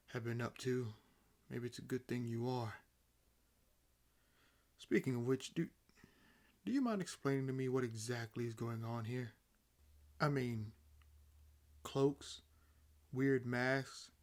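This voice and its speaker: A man playing a sardonic, freshly awakened vampire in a roleplay audio drama, talking casually and haltingly. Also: medium pitch, natural voice.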